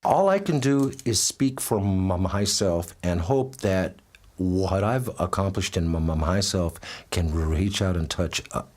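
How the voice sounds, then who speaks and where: A man's voice: low voice, lively intonation, unhurried, a little mellow. A middle-aged man who stutters, talking candidly in an interview, tired from touring and laughing often. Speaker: interviewee, musician. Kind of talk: relaxed interview talk.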